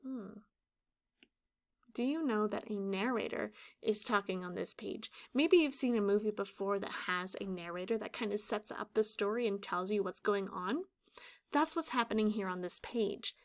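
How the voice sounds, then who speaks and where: A woman in her thirties, a teacher explaining a children's story with deliberate enunciation. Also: medium pitch. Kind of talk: instructional children's read-aloud. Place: small room indoors, classroom or office decor.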